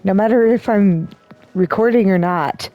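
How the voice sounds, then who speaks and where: A woman giving casual gameplay commentary and reading game text aloud, with soft-spoken asides. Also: medium pitch, moderate pace, lo-fi sound, soft background music. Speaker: streamer playing a story game.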